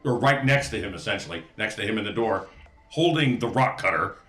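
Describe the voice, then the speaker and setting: A man's voice: lowish voice, animated, brisk, a bit bright, soft background music. A man playing a tabletop role-playing game, speaking casually and correcting himself as he goes. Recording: echoey room.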